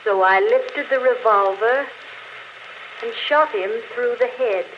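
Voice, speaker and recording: An actress playing an unrepentant murderess confessing in an intimate, matter-of-fact way, in 1940s radio drama style. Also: female voice, a little high, slow. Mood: calm, unrepentant. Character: murderess confessing on a phonograph record, coolly unrepentant. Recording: dull, noisy old radio recording.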